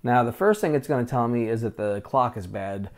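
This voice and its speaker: A man's voice: medium pitch, brisk. A man in his forties hosting a vintage computer channel, talking casually through a hands-on restore at a desk in a small room, wearing a lapel mic, with lots of "uh" fillers. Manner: relaxed, chatty, frequent "uh" fillers. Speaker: vintage tech channel host. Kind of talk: casual hands-on tech walkthrough.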